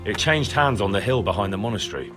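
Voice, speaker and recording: An actor playing a blunt, persistent young investigator in a medieval video game, with a direct, questioning manner. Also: male voice, on the low side, expressive, fast, long reverb tail, music in the background, close to an everyday voice. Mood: reporting.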